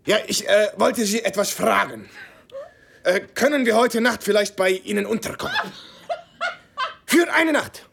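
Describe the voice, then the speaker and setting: An actor playing a weary, wry American army war reporter in a radio drama, speaking hesitantly and dryly. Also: male voice, sits high, expressive, measured pace, creaky, pauses often, noisy background, full character voice. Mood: hesitant, awkward.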